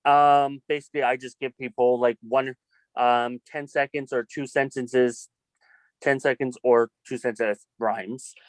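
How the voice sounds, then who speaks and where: A man's voice: mid-range voice, fairly even intonation, a little slow, clear, resonant tone, dry recording. Man chatting casually in a dry space. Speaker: student filmmaker in an online class meeting. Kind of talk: casual group discussion.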